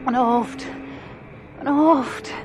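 An actress playing a frightened, drugged young woman in a radio play, halting and repeating her words. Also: fairly even intonation. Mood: drowsy, confused. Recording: noisy radio drama with car rumble.

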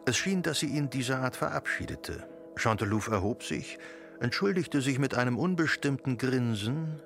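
A male narrator delivers literary radio-drama prose with deliberate, measured phrasing. His mid-pitched voice has a marked gravelly creak, and music plays clearly behind him. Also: expressive, a bit bright.

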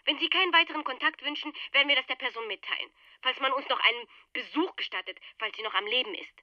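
A woman plays a polite, persuasive official in a radio drama. Her voice is high and quick, with formal phrasing. It sounds dry and dulled, as over a telephone. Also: no room sound, lo-fi sound, close to an everyday voice. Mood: cool, offended. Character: smooth-talking, formal female aid official running a scam.

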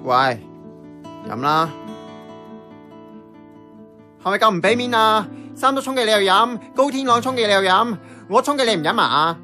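A man's voice: high-pitched, big pitch swings, a little fast, bright, forceful tone, faint music behind, heavily acted. An actor with a Hong Kong Cantonese accent playing a casual, blunt young man in radio drama dialogue. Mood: pestering.

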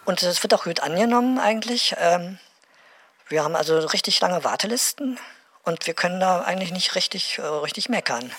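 A woman's voice: fairly low pitch, expressive, a little fast, a bit bright, slightly rough. A woman playing a callous, bumbling bureaucrat who stumbles and repeats words in a mock-documentary interview for radio drama. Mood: stumbling, promotional. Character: bumbling, callous bureaucrat selling a seniors' programme.

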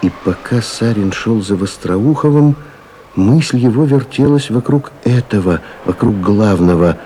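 A man narrating a radio drama as a sober storyteller, measured, literary and intent. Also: fairly low pitch, lively intonation, warm tone. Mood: grave, tense. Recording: noisy vintage radio recording.

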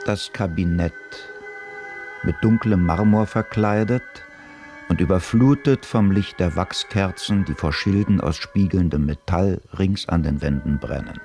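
A man giving a measured, descriptive narration for a radio play, with music behind. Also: deep voice, a little mellow. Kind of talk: scripted narration.

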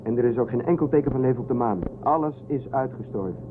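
A man acts as a blunt, factual radio officer aboard a starship in a sci-fi audio drama. His voice is mid-pitched and insistent. The recording is tinny, with clear noise. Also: quick pace, natural voice. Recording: thin phone-like, noisy sound.

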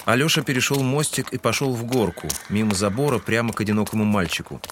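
A man's voice: lowish voice, quick pace, background noise. A man giving scripted literary narration in an audio drama. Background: music.

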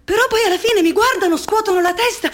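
A woman's voice: very high voice, quick pace, fairly bright tone. An actress in a radio play playing a worn, bruised young woman who speaks in a subdued, hesitant, tearful way. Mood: resigned.

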